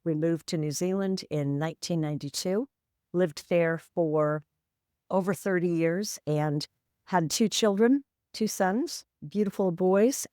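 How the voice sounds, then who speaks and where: A woman in her sixties tells her story as a podcast guest. Her voice sits low and sounds smooth and resonant. She speaks in a candid, wry, matter-of-fact way, and the recording is dry and close. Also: a little mellow, dry recording. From San Francisco, USA. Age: 60s. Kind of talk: reflective storytelling interview.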